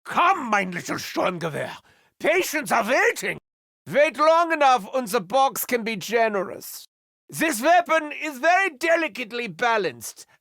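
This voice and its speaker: A man's voice: very high voice, vocal fry, bright, forceful tone, no echo, studio quality, full character voice. An actor playing a manic, unhinged German male doctor in a video game, with a German accent and a theatrical, mock-wounded manner. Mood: gleeful.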